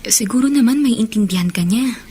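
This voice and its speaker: A woman with a Filipino accent playing a gently reassuring, practical companion in a radio drama. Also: on the high side, fairly quick, slight background noise, natural voice.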